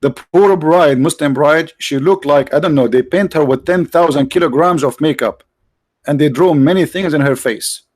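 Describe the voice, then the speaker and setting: A man's voice: a little high, a little mellow, no room sound. Sarcastic man on a dry close mic. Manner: sarcastic, mocking, halting with many restarts. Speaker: livestream host. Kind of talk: casual livestream monologue.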